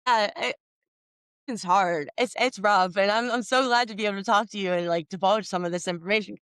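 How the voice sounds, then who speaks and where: A woman's voice: medium pitch, brisk, a bit bright, clean tone, no echo, studio quality. A woman hosting a podcast, talking in a hesitant, searching way with many fillers and restarts. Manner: hesitant, lots of "like" and restarts, laughs easily. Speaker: podcast host. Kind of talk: conversational interview.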